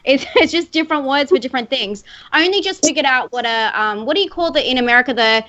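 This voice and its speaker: A woman's voice: high voice, lively intonation, fast, sharp tone, no echo, highs cut off. A woman with an Australian accent hosting a casual livestream chat, rambling and laughing often. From northern Australia. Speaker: livestream host.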